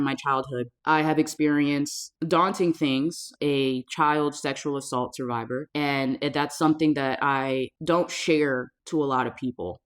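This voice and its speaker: Woman, 30s, from South Florida; safety coach speaking to camera, earnest, deliberate, leans on key words. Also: low voice. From South Florida, USA. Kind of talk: personal storytelling to camera.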